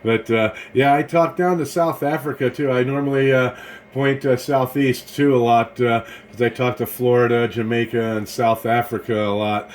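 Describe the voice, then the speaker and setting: A man's voice: medium pitch, fairly even intonation, normal speed. A man, an amateur radio operator making a casual, laid-back, folksy contact. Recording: faint background noise in radio room.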